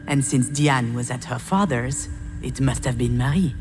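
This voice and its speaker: A reflective woman with a low voice, looking back on her childhood in an introspective game narration. Her telling is measured and confessional, quietly troubled, with prominent music underneath. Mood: wistful. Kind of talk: introspective scripted narration in a visual novel. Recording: music behind the voice.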